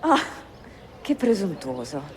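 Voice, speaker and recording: An actress playing a curious, poised Italian bookseller in a video game cutscene, with an Italian accent and a teasing, refined manner. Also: female voice, low voice, big pitch swings, unhurried. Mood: welcoming, surprised.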